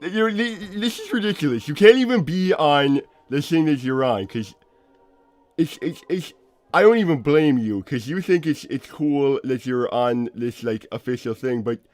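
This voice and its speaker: A man playing an awkward, exasperated cartoon animal inventor, co-hosting an in-character livestream in halting, repetitive chat. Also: a little high, lively intonation, dry recording, faint music behind, lightly acted. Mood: bitter, defensive. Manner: halting, stammering, repeats himself. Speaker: livestream co-host.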